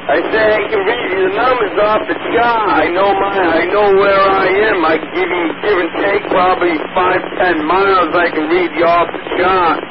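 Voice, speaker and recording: A man captaining a fishing boat, making a hurried, halting distress call over marine radio. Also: high-pitched, fairly even intonation, a loud crowd. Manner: hurried, halting, repeating himself. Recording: muffled marine radio with loud static.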